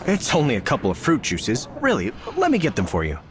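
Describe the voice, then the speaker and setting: An actor playing a courteous, nervous knight in a video game, hesitant and wordily polite. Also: male voice, mid-range voice, wildly swooping pitch, fairly quick. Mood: friendly, insistent. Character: nervous, evasive, courteous male city knight. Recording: music under the voice. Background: game music.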